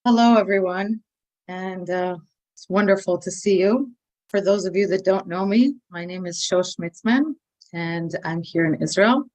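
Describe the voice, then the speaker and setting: An American woman with a slightly low voice opens her part of a live briefing. She is welcoming and measured. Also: darkish tone, poor audio. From United States. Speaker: nonprofit liaison in Israel. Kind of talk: opening a live briefing update.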